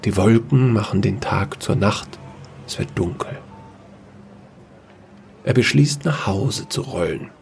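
A man narrating a sci-fi fairy-tale audio story in a measured storytelling manner. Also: fairly low pitch, lively intonation, creaky, a little mellow, faint music behind.

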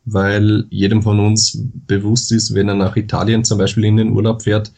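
A low-voiced Austrian man from the far west of the country speaks as a podcast guest. He explains things at length in careful standard German and pauses often with filler sounds. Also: monotone, vocal fry, a little mellow. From Vorarlberg, western Austria. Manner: measured, explanatory, with many hesitations. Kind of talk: podcast interview.

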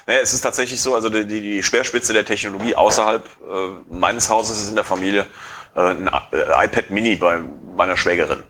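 Husky man in his forties, rambling. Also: lowish voice, brisk, a bit bright. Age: 40s. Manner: rambling, hesitant with many fillers. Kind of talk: casual podcast discussion.

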